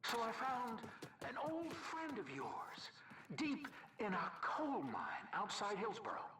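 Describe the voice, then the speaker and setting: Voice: medium pitch, wildly swooping pitch, takes it slowly, noisy background. An actor voicing a gloating Nazi commandant villain in a video game, taunting theatrically between whispers and outbursts. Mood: gloating menace.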